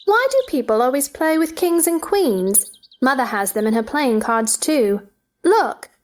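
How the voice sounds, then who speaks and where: Woman playing an eager, high-voiced little girl. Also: very animated, unhurried. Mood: curious, playful. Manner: eager, childlike, inquisitive. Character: chatty, curious, imaginative little girl. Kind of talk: storybook play reading.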